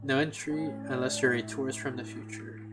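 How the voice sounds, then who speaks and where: A man's voice: mid-range voice, fairly quick, poor audio. A man streaming a game, thinking aloud in a chatty way with many restarts. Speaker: gameplay streamer. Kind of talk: casual let's play commentary. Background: game music.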